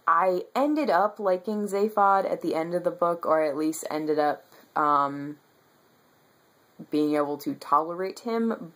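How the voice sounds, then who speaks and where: A young woman with a stuffy nose and a tired voice chats about books on her webcam, rambling with lots of ums. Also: low voice. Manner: rambling and enthusiastic, many ums. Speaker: book vlogger. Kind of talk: casual monthly reading wrap-up. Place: bedroom with bookshelves, indoors.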